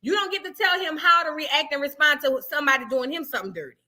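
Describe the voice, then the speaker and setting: A woman's voice: high-pitched, fast, sharp tone. A woman giving blunt, questioning commentary as she reacts to a clip.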